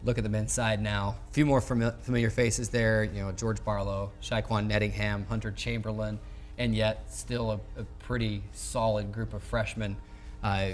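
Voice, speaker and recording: A man with a fairly low voice hosts a sports interview program. He speaks in a conversational broadcast style, moving between questions and show announcements, with faint music behind him. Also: level tone, moderate pace.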